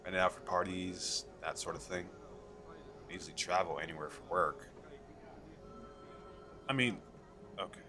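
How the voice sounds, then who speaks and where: A man playing an eager, chatty fantasy merchant in an audio roleplay, talking the listener through a sales pitch in a hesitant, rambling, persuasive way. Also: fairly even intonation, moderate pace, pauses often, close to an everyday voice. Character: eager, chatty male fantasy merchant selling magical crystal castles.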